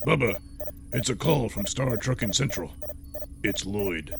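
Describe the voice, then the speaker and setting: A man voicing a gravelly, laconic spaceship crewmate in a comic sci-fi audio serial. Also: fairly low pitch, lively intonation, measured pace, music in the background. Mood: matter-of-fact.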